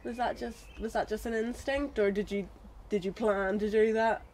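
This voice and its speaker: A woman's voice: mid-range voice, fairly even intonation, normal speed, a little mellow, a little hiss or hum. A woman working as an interviewer, putting questions in an informal, conversational way.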